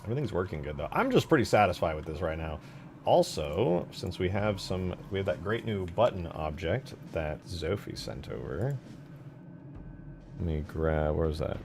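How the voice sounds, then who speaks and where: A man's voice: low-pitched, lively intonation, moderate pace, vocal fry, background noise. A man chatting casually and in slangy, sweary terms on a livestream while he works on game mods. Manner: laid-back, slangy, profane. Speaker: streamer building video game mods.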